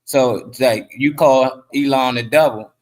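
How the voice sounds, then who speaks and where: A man's voice: medium pitch, unhurried, a little mellow. A man giving his opinions casually on a talk show, conversational, arguing by example and quoting others.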